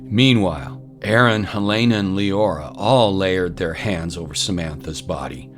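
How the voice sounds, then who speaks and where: Deep-voiced male storyteller over music. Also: measured pace. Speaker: narrator. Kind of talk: scripted fantasy audiobook narration. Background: prominent music.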